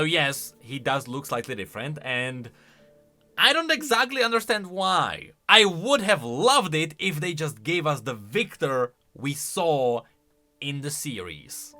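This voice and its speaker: A man giving casual, conversational reaction commentary as a video host, with an animated, questioning manner. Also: fairly high pitch, unhurried, soft background music. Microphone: close studio-style microphone.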